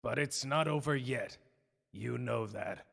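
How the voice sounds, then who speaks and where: Guy doing a creepy-cold old boss in a roleplay drama, heavy fry, curt and scornful, echoing in a big hall. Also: medium pitch, measured pace, fairly bright tone, exaggerated voice. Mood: cold, disdainful. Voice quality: gravelly. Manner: curt, condescending, formal, commanding. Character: cold, stern, scheming older male superior of sorcerers. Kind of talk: dramatic ASMR character roleplay. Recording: long cavernous hall reverb.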